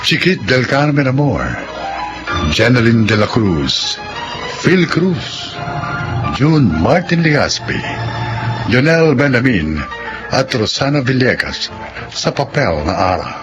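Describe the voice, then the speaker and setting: Slow, animated Filipino male radio host. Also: mid-range voice, lo-fi sound, music in the background. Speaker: radio drama host and narrator. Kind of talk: scripted narration, reading credits.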